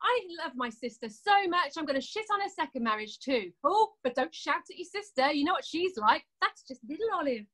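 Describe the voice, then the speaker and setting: A woman's voice: high voice, very animated, brisk, few pauses, fairly bright tone. A woman acting a blunt, sarcastic elder sister who holds a grudge, in a naturalistic drama. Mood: hurt, angry. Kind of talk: naturalistic stage drama dialogue.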